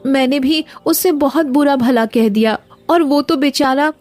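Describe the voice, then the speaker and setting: An earnest, affectionate village wife from a cartoon moral tale, played by a woman. The voice sits high. She is polite and humble in her delivery, with faint music underneath. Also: a little slow, darkish tone. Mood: regretful. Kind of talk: animated moral story dialogue.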